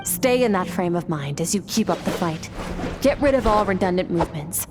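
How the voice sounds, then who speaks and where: A woman voicing a calm, exacting swordmaster who firmly instructs her student in a game. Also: fairly low pitch, moderate pace, natural voice. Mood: stern, instructive. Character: stern swordmaster mentor woman, cool and exacting. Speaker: sword teacher. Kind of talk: game voice acting. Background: game music.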